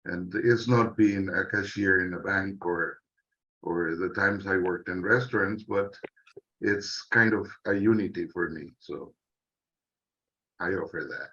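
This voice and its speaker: A man's voice: low voice, measured pace, sharp tone. A man introducing himself as a writer on a panel, rambling in a wry, reflective way. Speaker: panelist, science fiction writer and artist. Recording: muffled, dull online call audio.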